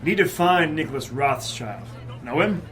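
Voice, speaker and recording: A man's voice: a little high, very animated, unhurried. A man playing a blunt, impatient private investigator in a noir audio drama, speaking in curt tough-guy lines. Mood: gruff. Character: hard-boiled male private eye, blunt and impatient. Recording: music playing behind the voice. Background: music.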